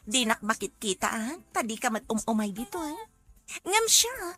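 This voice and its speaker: A woman playing a scheming young woman in an audio soap drama, with an insistent, expressive delivery. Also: fairly high pitch, moderate pace. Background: background music.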